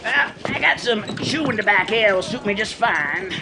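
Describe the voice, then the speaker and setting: An actor playing a grizzled, joshing old stagecoach driver in a radio drama, with a folksy drawl. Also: male voice, high voice, lively intonation, moderate pace, noisy background.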